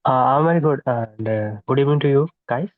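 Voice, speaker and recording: A young man, an engineering student, introducing himself haltingly on an online call. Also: mid-range voice, dry recording. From India. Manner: halting with uh fillers. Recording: tinny phone-like call audio.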